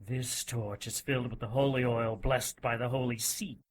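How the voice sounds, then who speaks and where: A man's voice: mid-range voice, steady tone, normal speed, slight background noise, natural voice. An actor playing a cruel, zealous religious inquisitor in an audio drama, menacing and mock-polite as he coldly gloats. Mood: cold menace.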